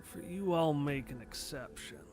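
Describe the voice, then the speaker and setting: Man playing a slow, sly, weary stranger. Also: on the high side, music in the background. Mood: sly, confiding. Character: shady weary man in a broad-brimmed hat, confiding.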